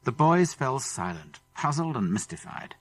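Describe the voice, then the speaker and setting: A man's voice: mid-range voice, sing-song, normal speed, a bit bright. A man with a British accent reading a children's adventure story expressively, building suspense as he narrates. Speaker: audiobook narrator.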